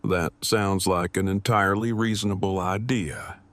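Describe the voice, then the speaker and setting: A man's voice: low-pitched, steady tone, normal speed, fairly bright tone, no echo. An actor playing an earnest, long-winded nerdy man in an audio drama, with formal and precise wording. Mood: awkward, agreeable. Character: earnest, awkward, verbose nerdy man newly in love.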